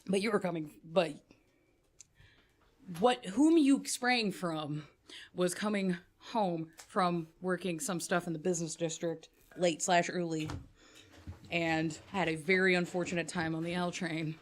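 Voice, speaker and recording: A woman's voice: lowish voice, measured pace, a little hiss or hum. Casual, foul-mouthed woman running a tabletop game. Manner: casual, profane, lots of filler words. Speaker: game master.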